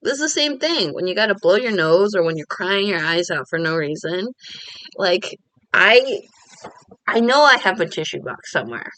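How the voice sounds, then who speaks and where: A woman's voice: fairly low pitch, big pitch swings, normal speed, fairly bright tone, no echo, low-quality recording. Candid, rambling woman vlogging at home. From Korean American. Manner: candid, rambling, self-deprecating. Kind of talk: casual confessional vlog.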